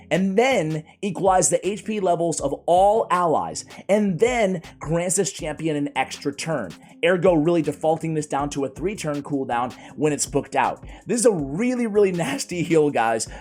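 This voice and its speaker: A man reviewing video game characters in a casual, energetic way, his voice strained and worn from a cold. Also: a little high. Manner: energetic, chatty, keeps addressing viewers as guys. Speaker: gaming YouTuber.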